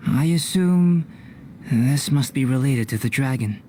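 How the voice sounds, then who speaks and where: A man voicing a calm, scholarly, slightly aloof young alchemist in an anime fantasy game, with formal, deliberate phrasing. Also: mid-range voice, a little hiss or hum, natural voice. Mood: calm, analytical. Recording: game audio with clear background ambience.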